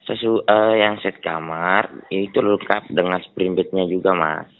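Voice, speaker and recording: A man on a recorded phone call, speaking politely and eagerly, agreeing quickly and quoting prices. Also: low-pitched. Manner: polite, eager to please, repeats "siap, Mas". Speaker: suspected scam seller on a phone call. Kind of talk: phone conversation. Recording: tinny phone-line recording.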